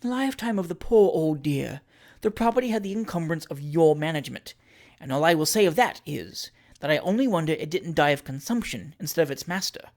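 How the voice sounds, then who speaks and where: Narrator playing storybook lady, forty: cool, self-possessed schemer, quiet, measured, dry and imperious. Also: mid-range voice, expressive, natural voice. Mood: insistent.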